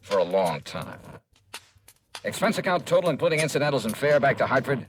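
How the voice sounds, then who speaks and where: Wry, animated American radio detective, played by a man. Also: medium pitch, fairly quick, natural voice. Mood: wry, explaining. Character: brisk, wry, seasoned male insurance investigator. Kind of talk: 1950s radio detective acting. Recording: old radio drama recording, faint noise.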